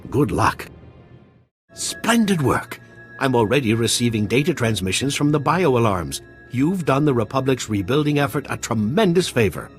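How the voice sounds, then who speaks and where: Animated, eccentric scientist played by a man. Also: medium pitch, normal speed, soft background music, lightly acted. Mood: dry, wry, then delighted, grateful. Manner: formal, precise, theatrical. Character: eccentric, grateful male scientist, courteous and dry-witted. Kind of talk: video game voice acting.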